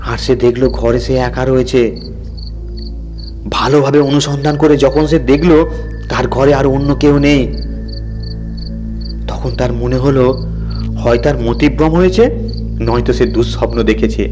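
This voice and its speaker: A man's voice: medium pitch, darkish tone. Man narrating horror over music. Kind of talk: scripted dramatic story narration. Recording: music bed behind the voice.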